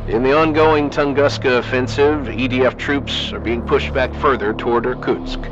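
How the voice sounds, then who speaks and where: A man plays a formal war-news announcer in a video game. His delivery is sober and scripted, with a muffled, lo-fi broadcast sound and clear music behind. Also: mid-range voice, steady tone, normal speed, close to an everyday voice.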